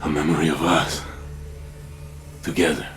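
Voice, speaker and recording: An actor playing a weary, passionate robot warrior in a video game, speaking in a halting, theatrical way. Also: male voice, a bass voice, level tone, fairly bright tone, soft background music. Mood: anguished, tender. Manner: deliberate, halting, theatrical delivery. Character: weary, passionate robot warrior recalling his own death. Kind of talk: dramatic video game voice acting.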